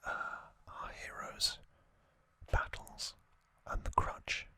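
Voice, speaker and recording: A man hosting an ASMR video, whispering unscripted commentary in a hushed, unhurried, halting manner. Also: no room sound. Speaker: host of an ASMR channel.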